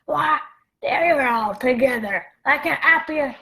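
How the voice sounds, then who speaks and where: A woman playing a sly villain, gravelly and oily, polite. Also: high voice, measured pace, bright, forceful tone, heavily acted, rough recording. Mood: wheedling, sly. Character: Long John Silver, sly wheedling pirate villain.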